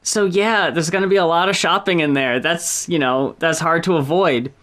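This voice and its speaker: A woman's voice: lowish voice, normal speed, a bit bright. A woman co-hosting a podcast, chatting casually, blunt, swearing freely and laughing often. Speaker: podcast co-host. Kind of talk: casual chat about music.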